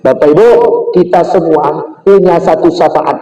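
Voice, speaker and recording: Echoey, high-pitched male preacher. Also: moderate pace, mellow, highs cut off. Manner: emphatic, rhetorical questions, frequent direct address to listeners. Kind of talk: Islamic sermon to a congregation. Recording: roomy echo, distant from mic.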